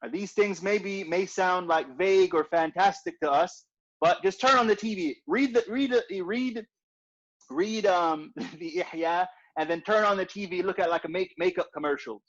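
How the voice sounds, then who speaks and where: A man's voice: sits high, normal speed, fairly bright tone. A man teaching an informal religious class in a conversational way, repeating his points and often asking "right?". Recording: dull lo-fi recording.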